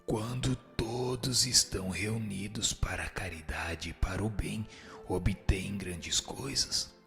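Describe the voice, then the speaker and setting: A man's voice: on the low side, slow pace, vocal fry, fairly bright tone, heavily acted. A man with a Brazilian accent playing a solemn, instructive spirit in a radio drama, answering in formal language. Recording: music under the voice. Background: background music.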